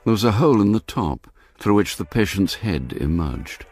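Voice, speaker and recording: A man's voice: fairly low pitch, very animated, normal speed, darkish tone, faint music behind. A man narrating a radio drama from a script, in literary storytelling style.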